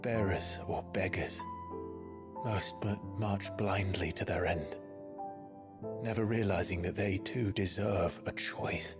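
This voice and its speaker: A man voicing a brooding, burdened young man in a video game, speaking gravely and earnestly with quiet resolve. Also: sits low, fairly even intonation, takes it slowly. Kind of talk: dramatic video game acting. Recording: music under the voice.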